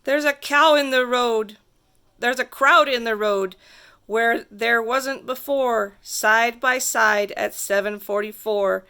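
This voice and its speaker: A woman reading a rhyming picture book aloud to children in a theatrical, sing-song way with drawn-out emphasis. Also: on the high side, bright, forceful tone, smooth. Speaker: storybook reader.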